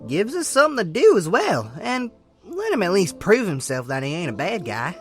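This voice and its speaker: A woman's voice: medium pitch, huge pitch swings, moderate pace. A woman voicing a friendly, trusting female animal companion who talks in a folksy, easygoing, upbeat way in a fan comic dub. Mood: cheerful.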